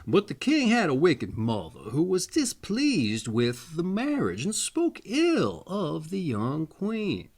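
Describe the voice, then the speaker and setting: Slow, expressive man reading fairy tales. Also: a little high, creaky, husky. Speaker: storyteller reading aloud. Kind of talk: expressive fairy tale narration.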